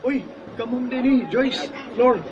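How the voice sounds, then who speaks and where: High-voiced, awkward teenage boy. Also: steady tone, measured pace, highs cut off, background noise. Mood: friendly, casual. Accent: Cebuano. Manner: hesitant, boyish. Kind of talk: Bisaya radio drama acting.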